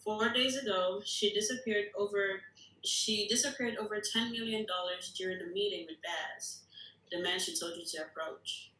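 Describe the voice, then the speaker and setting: A woman's voice: mid-range voice, moderate pace, bright, forceful tone, slight background noise, close to an everyday voice. A woman acting a tough, blunt secret-agency recruit at a screenplay table read. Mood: explaining. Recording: echoey room.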